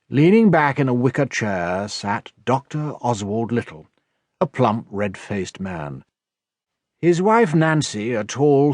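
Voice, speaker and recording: A man's voice: mid-range voice, dry recording. A man with a British accent reading scripted narration from a children's novel as an audiobook narrator. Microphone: close, dry studio recording.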